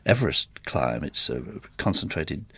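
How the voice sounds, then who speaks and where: A man's voice: a bass voice, big pitch swings, a little slow, a bit bright, husky, dry recording. An older man from northern England, chatting in anecdotes as he reminisces as an interview guest. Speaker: interview guest, theatre organist.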